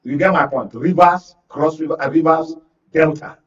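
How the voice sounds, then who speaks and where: A man's voice: on the high side, moderate pace. A Nigerian man speaking as a political analyst in a discussion, emphatic and often checking that listeners follow. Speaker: guest political analyst on a talk show. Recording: muffled, distant-sounding studio talk.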